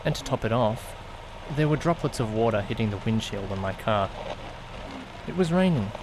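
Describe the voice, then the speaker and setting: A man playing an anxious young student driver, narrating an eerie audiodrama in an expressive, brooding way with hesitant speech. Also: on the low side, moderate pace, background noise. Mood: ominous, brooding. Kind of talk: first-person dramatic narration, visual novel audiodrama.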